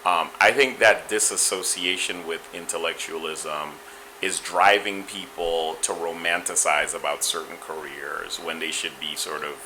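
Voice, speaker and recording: A middle-aged man from Guyana with a Caribbean accent, answering interview questions in a reflective, measured way, with frequent restarts. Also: deep voice, steady tone, pushed and bright, a little hiss or hum, poor audio. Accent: Caribbean, Guyanese and Trinidadian. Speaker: interview guest.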